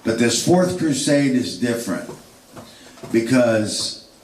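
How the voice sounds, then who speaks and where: A man's voice: medium pitch, expressive, slow. A man giving an unscripted history lecture, rambling with fillers and restarts. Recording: echoey room, distant mic.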